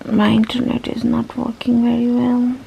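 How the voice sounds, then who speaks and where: A woman's voice: a little high, moderate pace, vocal fry, breathy edge, rough recording. Young woman with a Nepali mother tongue, vlogging. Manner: relaxed, chatty, talks straight to camera. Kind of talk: casual daily vlog. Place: home, indoors.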